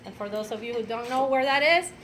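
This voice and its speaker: A woman, telling her personal story at an event in an unhurried way with fillers and light laughs. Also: on the high side, background noise. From Venezuela. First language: Spanish. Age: 50s. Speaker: speaker at an event. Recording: clattering dishes and cutlery behind.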